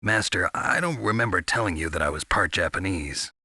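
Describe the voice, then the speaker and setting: Video game soldier hero: male, low gravelly voice with a hard forceful edge, skeptical, terse delivery. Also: a little fast, no echo, studio quality, full character voice. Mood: serious. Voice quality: gravelly, husky. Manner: terse, wry.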